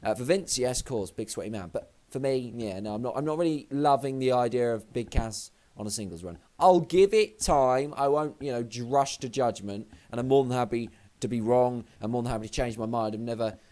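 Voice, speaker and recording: A young man, slightly hoarse, rambling and swearing casually as he previews a wrestling show. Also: medium pitch, fairly quick, few pauses, warm tone. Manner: rambling, sweary, self-deprecating. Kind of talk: casual vlog commentary.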